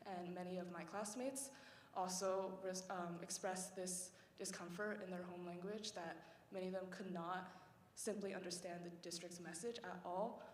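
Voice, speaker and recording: An earnest, polite teenage girl giving public comment at a school board meeting, her voice slightly low for her age. She speaks with many ums from a podium mic in a large, echoey hall with a long reverb tail and faint room noise behind her. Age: teens.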